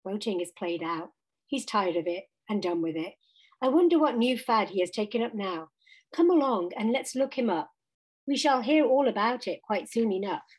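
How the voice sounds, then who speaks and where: A woman's voice: mid-range voice, expressive, normal speed, slightly rough, poor audio. A woman voicing a good-natured, cheerful male water rat in a storybook, chatty and emphatic. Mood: knowing.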